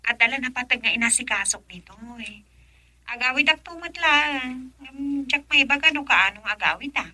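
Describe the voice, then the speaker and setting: Radio drama casting: chirpy young Ilocano city worker, high and piercingly bright voice. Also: female voice, expressive, moderate pace, full character voice. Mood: bright, coy. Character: chirpy young woman working away in the city.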